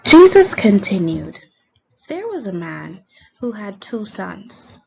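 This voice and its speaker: A woman's voice: mid-range voice, very animated, takes it slowly, creaky, dark tone. A woman reading a scripted story aloud as a teacher for students, expressively and with feeling. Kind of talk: scripted read-along storytelling. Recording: dull lo-fi recording, faint background noise.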